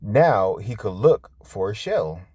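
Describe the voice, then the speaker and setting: Slow, expressive low-voiced man reading a storybook. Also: husky, dry recording. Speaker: storybook reader, host. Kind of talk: children's storytime read-aloud.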